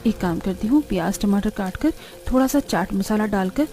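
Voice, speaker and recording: A woman voicing a poor, gentle, hopeful mother in a cartoon moral story, speaking in a soft, reassuring, respectful way. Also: mid-range voice, lively intonation, normal speed, lightly acted. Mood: caring. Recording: background music under the voice.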